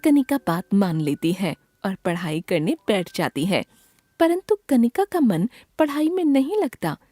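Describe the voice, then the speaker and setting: A woman's voice: medium pitch, big pitch swings, a little slow, dark tone, dry recording, clean studio sound. A woman narrating a scripted children's story as the storyteller.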